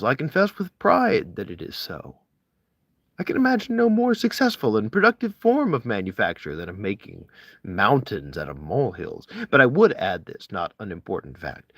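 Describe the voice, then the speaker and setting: Male reader for literary essays: emphatic and expressive, mid-pitched with wide swings, dry recording. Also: no echo. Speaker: amateur audiobook reader.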